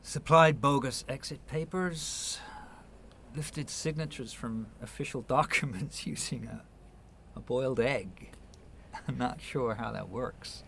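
A man's voice: mid-range voice, takes it slowly, vocal fry, fairly bright tone, slight background noise. An actor in a radio play voicing an earnest, patient man who speaks hesitantly, with small stammers. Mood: earnest, explaining. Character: earnest, patient man gently persuading a younger relative. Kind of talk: naturalistic radio play acting.

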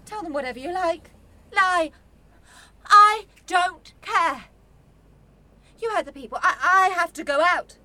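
A guarded young woman in a radio play, a prickly student who speaks bluntly. Her voice is high and bright, with a clipped, defensive edge. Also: animated, a little slow, pauses often, no echo, full character voice. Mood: defensive, upset. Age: young adult. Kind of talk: radio drama acting.

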